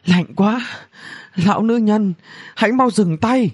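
A man's voice: on the high side, big pitch swings, normal speed, slightly rough, voice pushed up. A man voicing a bratty young princess in a fantasy audiobook, whiny and scolding, with exclamatory outbursts. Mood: desperate pleading.

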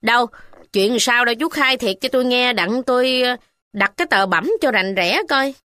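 A woman's voice: on the high side, normal speed, pushed and bright, no echo, studio quality, voice pushed up. A woman voicing a deferential male village official trying to keep order. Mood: placating. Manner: placating, deferential.

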